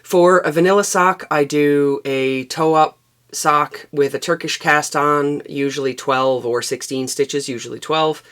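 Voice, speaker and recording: A woman, hosting a knitting vlog in relaxed, rambling chat. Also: low-pitched, unhurried. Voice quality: raspy. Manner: relaxed and rambling, with frequent fillers. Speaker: knitting podcast host. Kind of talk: casual chatty knitting vlog. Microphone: external microphone.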